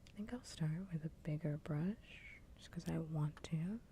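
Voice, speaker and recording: A woman hosts an ASMR livestream in a soft, breathy whisper. Her voice sits low and mellow, close on the microphone, with a casual, blunt delivery. Faint background noise runs underneath. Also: moderate pace. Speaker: livestream host.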